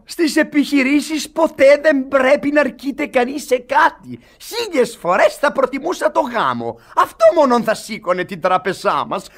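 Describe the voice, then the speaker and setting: A man's voice: extremely high pitch, expressive, few pauses. An actor playing a pompous, scheming banker father in a radio comedy, exhorting theatrically. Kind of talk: classic radio comedy acting.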